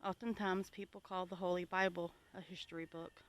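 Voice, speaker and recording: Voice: medium pitch, level tone, a little fast. A homesteading vlogger from the United States giving an informal, reflective talk to viewers in an earnest, plainspoken, advisory manner.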